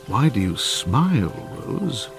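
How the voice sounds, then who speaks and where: An actor playing a pious, solemn missionary brother in a radio drama, who speaks in a preachy way. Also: male voice, medium pitch, big pitch swings, slow, gravelly, faint music behind, full character voice.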